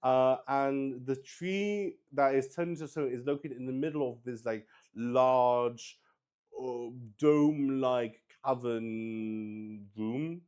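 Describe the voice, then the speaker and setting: A man's voice: medium pitch, slow pace, a little mellow, clear, resonant tone. A man improvising narration for a solo tabletop roleplaying game, thinking aloud hesitantly and often repeating his words. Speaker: solo roleplay host and player.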